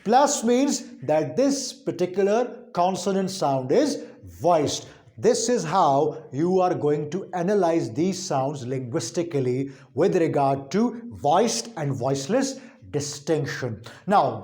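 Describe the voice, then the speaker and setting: A man with a high-pitched voice delivers an instructional linguistics lesson. His pace is slow and his intonation swings widely. He explains deliberately and emphatically, restating key points for his students. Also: dark tone. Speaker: linguistics lecturer.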